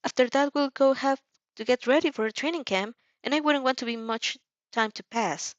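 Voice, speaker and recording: Woman doing a sweet proper schoolgirl, eager and formal, pitched up, bit muffled. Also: normal speed, no room sound, natural voice. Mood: earnest, polite. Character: polite, earnest, sweet well-mannered teenage schoolgirl.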